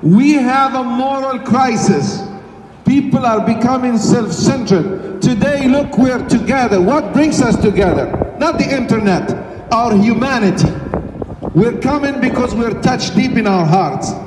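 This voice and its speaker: A man's voice: extremely high pitch, a little slow, background noise. A middle-aged man, an imam, giving an urgent, preacherly speech at a vigil, speaking into a handheld mic in a crowded outdoor city square. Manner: urgent, preacherly, rises to shouts. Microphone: handheld mic through a PA, recorded from a phone in the crowd. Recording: echoey PA sound from a distance, crowd murmur.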